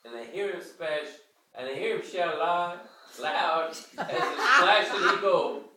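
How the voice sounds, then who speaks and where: A man's voice: sits high, slow, distant mic, crowd noise. An older man giving a theatrical bedtime read-aloud of a dialect verse story. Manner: theatrical, reading in Cajun dialect. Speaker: reader of a children's story. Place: living room armchair, indoors. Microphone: handheld camera a few metres away. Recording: roomy home recording from across the room.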